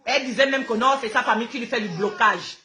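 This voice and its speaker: A woman's voice: a little high, fast talker, bright, forceful tone. A woman with a Gabonese accent, a native Fang speaker, ranting live to her followers in an outspoken, combative, repetitive way. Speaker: social media host.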